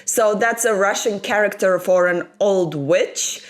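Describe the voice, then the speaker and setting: A woman's voice: mid-range voice, measured pace. A young woman from the Baltic states, not a native English speaker, telling a true crime story to camera in a chatty way full of asides, at a desk microphone in a small room at home. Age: young adult. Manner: chatty, animated, frequent asides. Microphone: desktop microphone in front of her.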